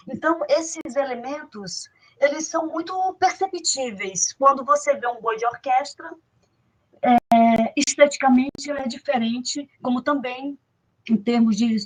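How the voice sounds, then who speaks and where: A woman's voice: on the high side, rough recording. A woman guest, explaining a folk tradition in an interview with many fillers and restarts.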